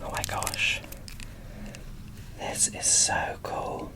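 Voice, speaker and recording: A woman whispering casual, gushing ASMR commentary as she presents an unboxing, in a breathy, informal way. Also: extremely slow.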